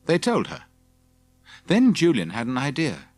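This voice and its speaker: A man's voice: medium pitch, wildly swooping pitch, a little slow, a little mellow, no echo, soft background music. A man reading scripted children's story narration as an audiobook narrator.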